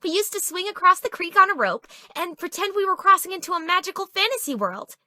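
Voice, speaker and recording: Shy bookish young woman, extremely high voice, hesitant, sweetly awkward, easily flustered, dating-game character, dry studio sound. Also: very animated, fast talker, pushed and bright, dry recording, heavily acted. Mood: wistful. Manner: hesitant, breathy stammering, girlish and earnest. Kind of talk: video game dating-sim voice acting.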